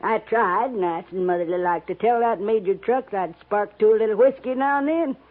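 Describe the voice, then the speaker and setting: A woman's voice: medium pitch, normal speed, phone-quality sound, lightly acted. An actress playing a wily, sweet-talking old American frontier woman with a cracked voice and a folksy drawl, in a 1950s radio drama. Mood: sly, wheedling. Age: older. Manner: folksy rustic drawl, sly.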